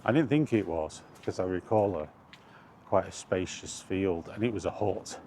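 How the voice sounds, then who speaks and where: Man, very low voice, slow casual rambling, hesitant, self-correcting, clear outdoor background noise. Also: dark tone. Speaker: vlogger. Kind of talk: casual rambling walk-and-talk chat. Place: outdoors, walking.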